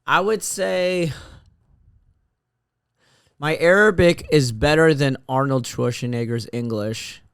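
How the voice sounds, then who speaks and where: A man's voice: a little high, takes it slowly, vocal fry, pauses often, fairly bright tone, clear, resonant tone, no room sound, studio quality. A man hosting a casual comedy podcast chat, joking loosely and rambling with many restarts.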